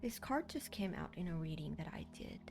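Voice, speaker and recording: A woman's voice: fairly low pitch, big pitch swings, fairly quick, a little mellow, soft background music. A woman with a Thai accent whose first language is Thai, giving a casual card reading and rambling with many fillers and restarts. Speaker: tarot card reader.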